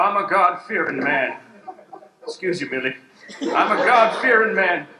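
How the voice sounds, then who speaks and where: A man's voice: sits high, bright, forceful tone, full character voice. A man playing a God-fearing gunslinging parson in an improvised Western radio play, declaiming solemnly in folksy frontier phrases on an echoey, noisy stage. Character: God-fearing male gunslinging parson of the Old West, righteous. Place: live improv stage with audience. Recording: echoey stage hall, background noise.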